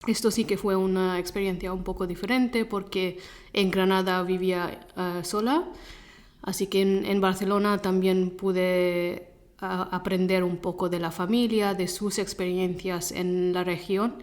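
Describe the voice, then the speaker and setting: Woman from England speaking haltingly. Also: lowish voice, flat delivery, darkish tone. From Leicester, England. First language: Gujarati. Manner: halting, self-correcting second-language speech. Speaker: interview guest, Spanish teacher. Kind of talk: conversational interview answers.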